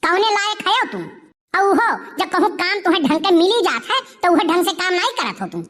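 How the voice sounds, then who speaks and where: A woman voicing a sharp-tongued, nagging village wife in an Awadhi comedy cartoon, with an Awadhi accent. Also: very high-pitched, sing-song, fairly quick, exaggerated voice. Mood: angry scolding. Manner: nagging, complaining.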